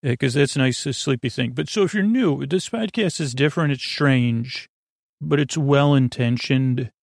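A man with a lot of fry in his mid-pitched voice hosts a sleep podcast. He wanders from tangent to tangent, halting, restarting and interrupting himself. The sound is dry, with no room around it. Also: no room sound. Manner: meandering, halting, stammering, self-interrupting tangents. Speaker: podcast host. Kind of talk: rambling sleep podcast monologue.

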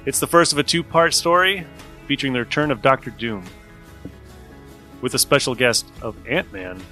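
A man's voice: medium pitch, animated, moderate pace, pushed and bright. A man introducing a podcast episode as host, chatty and casual with joking asides. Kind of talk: casual scripted show introduction. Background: faint music.

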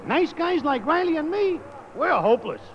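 A man's voice: extremely high pitch, big pitch swings, normal speed, slight background noise. An actor playing a cynical, needling wise-guy neighbour in a 1950s radio comedy, with a sardonic delivery. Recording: muffled old radio, reverberant hall.

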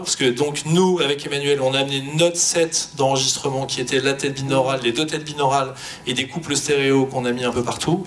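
A man speaking as a sound engineer on a panel, explaining technical work informally and loosely. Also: a bit bright. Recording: live panel with clear background noise, low rumble.

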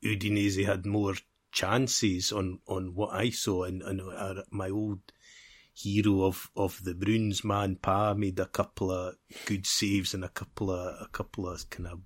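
A man's voice: low voice, little pitch movement, unhurried. A man co-hosting a football podcast in casual chat, rambling and repeating phrases as he builds his point.

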